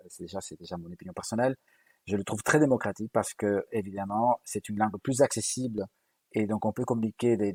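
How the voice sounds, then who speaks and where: A man's voice: fairly low pitch, darkish tone, slightly rough, no echo. A young adult man from Galicia with a Spanish accent, whose first language is Galician, speaking as a linguist guest in an interview and explaining patiently with frequent hesitations and repeated words. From Galicia, Spain. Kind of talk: interview conversation.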